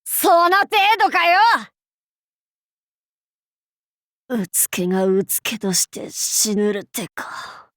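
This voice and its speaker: A woman playing a brash, cocky young feudal warlord in an anime game, with rough, masculine, archaic and boastful speech. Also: medium pitch, big pitch swings, takes it slowly, fairly bright tone, dry recording, studio quality. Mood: weakened, resigned. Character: brash, cocky young feudal warlord, self-styled fool, fiercely loyal. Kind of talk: anime game character voice acting.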